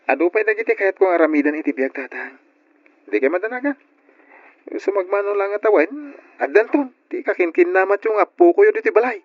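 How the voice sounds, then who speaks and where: A woman playing a cheerful, caring young adult daughter in an old radio drama, speaking with lively affection. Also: medium pitch, fairly quick, a little hiss or hum, lightly acted. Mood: playful, reassuring. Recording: dull, lo-fi old radio recording.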